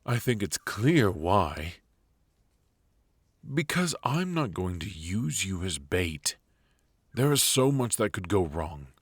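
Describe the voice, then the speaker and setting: A man playing a brooding, protective knight in an intimate ASMR roleplay, speaking in a halting, earnest, hushed way. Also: low-pitched, breathy edge, no echo, full character voice. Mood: worried, protesting. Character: brooding, protective male knight devoted to an outlawed royal. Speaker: voice actor.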